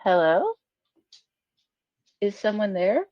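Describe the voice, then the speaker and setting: A woman's voice: medium pitch, sing-song, takes it slowly, no room sound, highs cut off. A woman playing a worried, loving mother in a dramatic table read. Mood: uneasy. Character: worried loving mother of a troubled daughter, later terrified.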